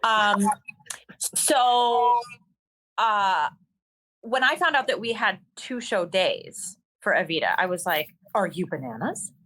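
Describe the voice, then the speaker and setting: A woman's voice: medium pitch, lively intonation, slow pace, a bit bright, low-quality recording. A woman, a stage actor and singer, chatting casually as an interview guest, with plenty of ums and restarts. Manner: chatty, many fillers and restarts. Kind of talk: casual conversational storytelling.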